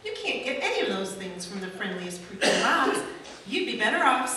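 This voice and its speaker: A woman's voice: mid-range voice, very animated, fairly quick, pushed and bright. A woman playing a scolding old witch in an amateur church skit. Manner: scolding, bossy. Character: scolding old witch who tells the children to stay home. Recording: echoey hall from far off, crowd noise.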